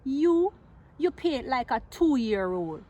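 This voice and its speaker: Woman as storybook male painter, bullheaded, blustering, scornful, emphatic, highish voice. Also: big pitch swings, slow pace, dark tone, dull audio, full character voice. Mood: scornful rage.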